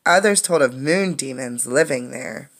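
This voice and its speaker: Woman reading aloud with a creaky voice. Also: very deep voice, animated, a bit bright. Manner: explanatory, pausing to comment and ask questions. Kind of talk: interactive read-aloud of a children's science book.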